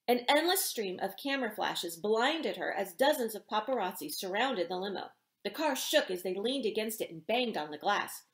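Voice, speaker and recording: A middle-aged woman, an author reading aloud in an expressive storytelling style in a small indoor room. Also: medium pitch, moderate pace. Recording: roomy home echo.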